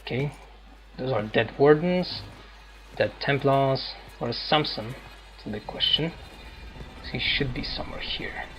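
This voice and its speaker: A man's voice: medium pitch, a little slow, long pauses, low-quality recording. A man commentating casually on a video game, musing aloud in short, broken remarks. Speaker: gamer commentating on a playthrough. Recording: game music behind the voice. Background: loud video game music.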